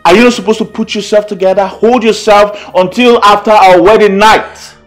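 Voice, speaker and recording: A man's voice: a little high, sing-song, a little fast. A man playing a domineering, hot-tempered husband in a melodramatic film, speaking emphatically. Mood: indignant, angry. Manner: emphatic, scolding outbursts. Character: domineering, hot-tempered husband swinging between scorn and tenderness. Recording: echoey room. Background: music.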